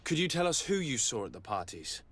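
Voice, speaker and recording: Composed, courteous game investigator. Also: male voice, medium pitch, big pitch swings, fairly quick, fairly bright tone, a little hiss or hum. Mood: polite, probing. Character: composed, courteous but pressing male investigator. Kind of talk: video game voice acting.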